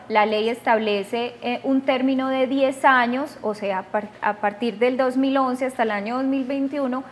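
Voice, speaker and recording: A woman with a Colombian accent politely and formally explaining procedures to callers as an adviser. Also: a little high. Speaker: victims' unit adviser. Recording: faint background noise.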